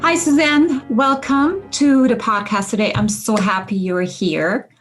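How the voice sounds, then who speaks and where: A woman's voice: a little high, fairly even intonation, unhurried, faint music behind. A woman hosting a podcast, asking questions in a friendly, encouraging, casual way. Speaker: podcast host, interviewer. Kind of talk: casual interview chat.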